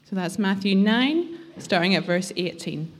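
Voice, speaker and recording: A woman reading a scripture passage aloud in church, steady and measured. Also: mid-range voice. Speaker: scripture reader.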